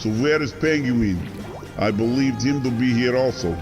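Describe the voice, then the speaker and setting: A man plays a businesslike gangster boss in an audio drama. He speaks formally and bluntly at a middle pitch, with music clearly heard underneath. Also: moderate pace, lightly acted. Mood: impatient. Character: formal, businesslike male Russian crime boss.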